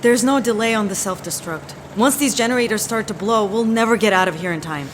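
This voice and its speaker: A woman's voice: medium pitch, fairly quick, slight background noise, close to an everyday voice. A woman playing an anxious, devoted tech agent in an audio drama, earnest and emotional. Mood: grim, tense.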